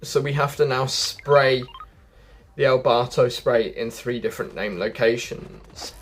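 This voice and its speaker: A man's voice: mid-range voice, measured pace, slight background noise. A man giving casual, chatty game walkthrough commentary, addressing his viewers directly as he goes. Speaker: gaming video host.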